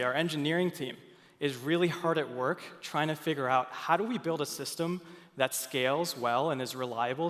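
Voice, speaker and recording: A young man from Finland speaks on a conference stage at a middle pitch. He is earnest and stumbles into fillers and restarts often. A long reverb trails his voice through the large hall. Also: fairly bright tone.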